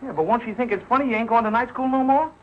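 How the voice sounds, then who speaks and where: A man's voice: extremely high pitch, rapid-fire. A man playing a meddling, needling best pal and neighbor in a 1940s television comedy, mock-solemn, with sloppy grammar. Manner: mock-solemn, ungrammatical, teasing. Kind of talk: 1940s television sitcom acting. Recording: muffled, noisy old 1940s TV soundtrack.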